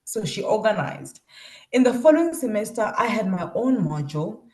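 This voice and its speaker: A woman with a South African accent speaks in an echoing room at a medium pitch. She sounds like an academic presenting research, reflecting through personal anecdotes. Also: very animated, moderate pace, a little mellow. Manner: reflective, acts out quoted remarks. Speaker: university lecturer presenting research.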